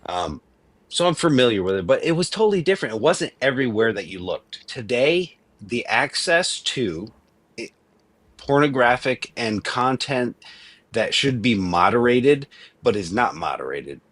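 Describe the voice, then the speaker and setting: A man's voice: mid-range voice, sing-song, normal speed, a bit bright, no room sound. A man in his late thirties, from Venezuela, talking earnestly and emphatically in a casual debate, often restarting his sentences. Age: 30s.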